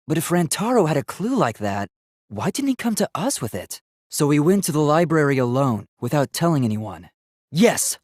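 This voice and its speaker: A man's voice: mid-range voice, dry recording, clean studio sound. A man playing an earnest young male robot student in a video game, speaking in a precise, polite and formal way. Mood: eager.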